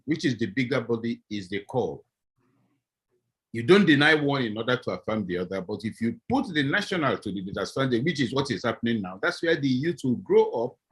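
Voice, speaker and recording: Animated husky Nigerian professor. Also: male voice, medium pitch. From southeast Nigeria. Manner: animated, acts out little scenes. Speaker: professor panelist. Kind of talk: panel discussion on a video call.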